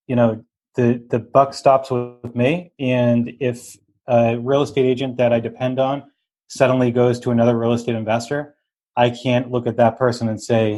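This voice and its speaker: Guest: relaxed man, mild Boston accent, chatty investor, mid-pitched, quick to laugh. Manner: laughs often, easygoing. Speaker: podcast guest, real estate investor. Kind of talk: casual interview chat.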